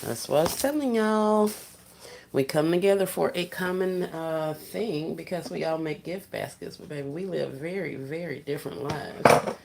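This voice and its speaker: A soft-spoken woman, chatting casually with viewers on a livestream while she works on gift baskets. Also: deep voice, normal speed, gravelly, a little hiss or hum. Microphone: phone set down while working.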